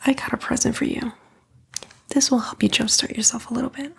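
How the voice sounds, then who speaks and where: A woman voicing a sly female demon in a whispered, breathy ASMR roleplay, coaxing and taunting the listener in a casual way. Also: on the high side, steady tone, a little fast, exaggerated voice.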